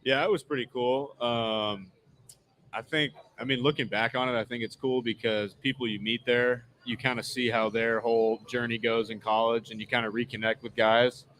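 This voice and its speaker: A man's voice: mid-range voice, fairly even intonation, normal speed, a bit bright, slight background noise. A man in his twenties with an American accent, answering interview questions as an athlete in a casual, laid-back, modest way. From Southern California, United States. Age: 20s. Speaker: American football player being interviewed. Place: live event at a casino.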